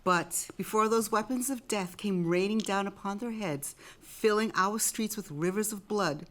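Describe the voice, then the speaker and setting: A woman's voice: medium pitch, moderate pace, fairly bright tone, close to an everyday voice. A woman playing a solemn, reverent chorus member, declaiming poetic battle verse in a Greek tragedy. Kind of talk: dramatic reading of Greek tragedy.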